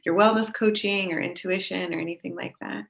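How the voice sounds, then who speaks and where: Gravelly low-voiced woman host. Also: fairly even intonation, brisk, a bit bright. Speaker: podcast host. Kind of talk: interview hosting.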